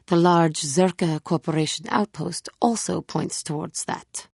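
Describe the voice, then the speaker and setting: A woman voicing an earnest, troubled young female Jedi warrior in a video game, speaking formally and with measured care in a husky voice. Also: on the low side, a little mellow, no room sound, clean studio sound, full character voice. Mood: grave, measured. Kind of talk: scripted game character dialogue.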